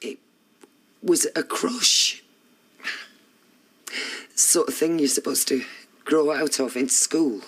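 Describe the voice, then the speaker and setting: A woman's voice: sits low, fairly bright tone, breathy edge, heavily acted, low-quality recording. An actress playing a distraught middle-aged wife and mother in a TV soap, with a Northern English accent, guilty and defensive in an emphatic way. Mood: ashamed, regretful. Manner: emphatic, anguished delivery. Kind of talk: naturalistic television soap drama acting.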